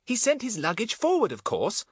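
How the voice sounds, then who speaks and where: A man voicing an eager, confident schoolboy with a bossy, excited manner in a storybook. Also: high voice, moderate pace, breathy edge, no echo, studio quality, voice pushed up, full character voice. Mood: scheming.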